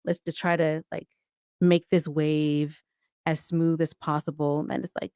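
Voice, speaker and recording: A woman's voice: deep voice, little pitch movement, a little slow, a little mellow, clear, resonant tone, no room sound, clean studio sound. A woman giving reflective, articulate answers as a guest in a conversational podcast interview. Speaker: podcast guest, audio drama co-creator and writer.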